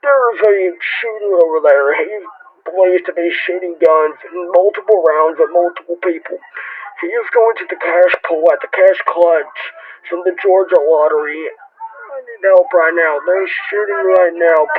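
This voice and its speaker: Male amateur as distressed emergency caller, stammering, fairly high voice, phone-quality sound. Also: breathy edge. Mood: desperate. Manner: halting, stammering. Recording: tinny, noisy phone line.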